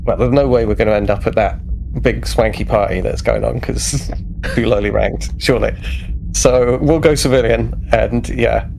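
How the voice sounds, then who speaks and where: A man's voice: mid-range voice, steady tone, moderate pace, gravelly, a little mellow, slight background noise. A man chatting casually as a player in a tabletop roleplaying game.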